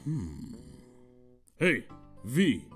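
An earnest, courteous beetle explorer voiced by a man who speaks slowly with a lively rise and fall. Soft music plays in the background. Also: mid-range voice, heavily acted. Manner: polite, earnest, slightly formal. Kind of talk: fan dub of a video game.

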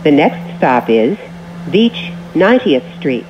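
A woman reading scripted transit announcements as a train announcer, in short, measured, formal phrases. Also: low voice, big pitch swings. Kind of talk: scripted automated station announcements. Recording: muffled recording with train noise.

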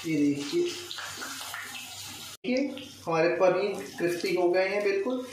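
A man's voice: high-pitched, expressive, measured pace, darkish tone. A young man narrating an informal cooking tutorial step by step, mixing English words into his speech. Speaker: home cook, recipe host. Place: home kitchen, indoors. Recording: echoey, distant mic, faint kitchen noise.

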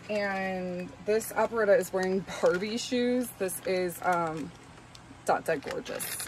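A woman's voice: mid-range voice, darkish tone, a little hiss or hum, rough recording. A young adult woman vlogger chatting casually about her doll finds, with frequent ums and likes. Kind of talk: casual haul vlog chat.

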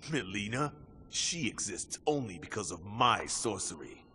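A man's voice: fairly low pitch, sing-song, a little slow, sharp tone, a little hiss or hum, exaggerated voice. A man playing an indignant, servile sorcerer with a theatrical, protesting manner in dramatic game acting. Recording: long reverb, faint music.

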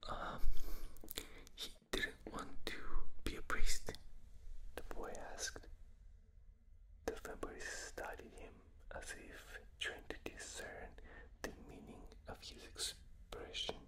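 A man with a non-native accent reading a novel aloud in a soft, fully unvoiced ASMR whisper on a binaural microphone, late at night. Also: slow. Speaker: ASMR reader. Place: dark room at night, reading from a tablet.

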